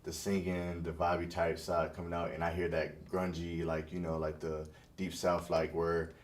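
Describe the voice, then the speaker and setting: A man's voice: low voice, level tone, normal speed, far from the mic. A young man hosting a casual podcast interview, talking in a hesitant way full of "like" fillers. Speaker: podcast host and blog writer.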